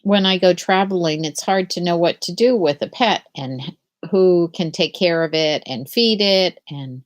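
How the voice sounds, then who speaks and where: A middle-aged woman hosting a live picture-book read-aloud, chatting casually and haltingly with young listeners and putting gentle questions to them. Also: on the low side.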